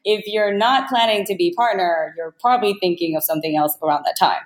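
A woman's voice: mid-range voice, brisk. A woman in her thirties from Malaysia answering casually as an interview guest, informal and hesitant. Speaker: startup founder, podcast guest. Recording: echoey, roomy sound.

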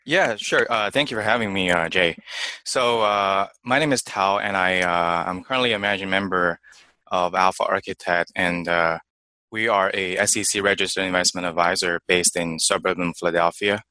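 A young man from China, guest in an interview, answering in a halting way with many fillers and restarts. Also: low voice, sharp tone, no room sound. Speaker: investment manager guest.

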